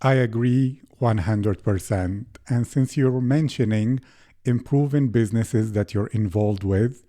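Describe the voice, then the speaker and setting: A man hosting a business podcast, reading a scripted intro and then asking interview questions in a measured way. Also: mid-range voice, mellow. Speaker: podcast host.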